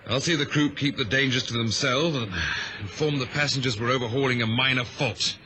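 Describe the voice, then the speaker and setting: An actor playing a dutiful, brisk chief officer aboard a ship in a radio drama, on a noisy vintage recording. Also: male voice, mid-range voice, pushed and bright, lightly acted. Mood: tense, dutiful. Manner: brisk, deferential to superiors.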